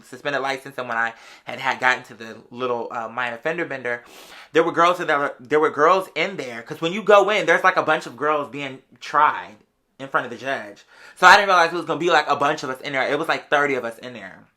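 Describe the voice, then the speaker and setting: A bright, forceful American voice of someone in their late twenties, pitched in an in-between register. The delivery is casual and gossipy, full of slang and sassy interjections, like confiding in a close friend. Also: brisk. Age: late 20s. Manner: conversational and sassy, heavy slang, often interjecting "girl".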